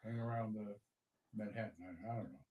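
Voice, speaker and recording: A man's voice: fairly low pitch, fast, narrow, tinny audio. A man from Oklahoma, a guest on a live stream, chatting in an easygoing, joking way. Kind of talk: casual chat.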